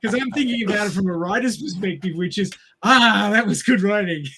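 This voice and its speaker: A man with a notably high voice in a casual podcast film discussion. He talks in a wry, jokey manner, like one of a regular panel. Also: a little fast, bright, forceful tone, slight background noise.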